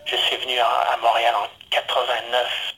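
A man's voice: medium pitch, monotone, normal speed, pushed and bright, a little hiss or hum, low-quality recording. A man from Alberta, Canada, reflecting in a radio interview and speaking hesitantly, with frequent restarts and repeated words.

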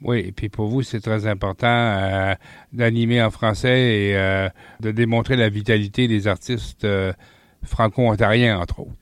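A man's voice: fairly low pitch, fairly even intonation, unhurried, clear, resonant tone, no room sound. Male radio host, dry. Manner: friendly questioning, some fillers. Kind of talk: radio interview. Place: radio studio. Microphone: studio mic.

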